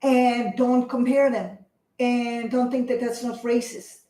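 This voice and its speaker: A woman's voice: fairly high pitch, flat delivery, slow pace, dark tone, roomy sound. A woman co-hosting a talk show, speaking emphatically and insistently, as if lecturing. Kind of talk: opinionated talk show discussion.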